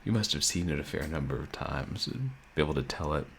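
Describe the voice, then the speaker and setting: A man streaming a video game, chatting casually and soft-spoken with viewers, often chuckling. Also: very deep voice, animated, fast talker, creaky, a little hiss or hum. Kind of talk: casual let's-play chat. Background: game music.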